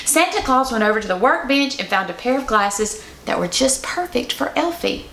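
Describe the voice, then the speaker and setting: A kindly storybook grandmother, acted by a woman, reminiscing gently. The voice sits fairly high and carries clear echo from the room. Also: very animated, moderate pace, a little hiss or hum, full character voice. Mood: explaining. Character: kindly grandmother telling her bedtime story. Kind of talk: children's storybook read-aloud.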